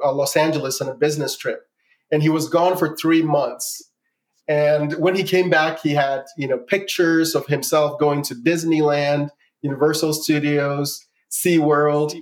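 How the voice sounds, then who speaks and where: A man in his forties from Ghana, telling his life story as a guest in a casual interview and often repeating words. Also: on the high side.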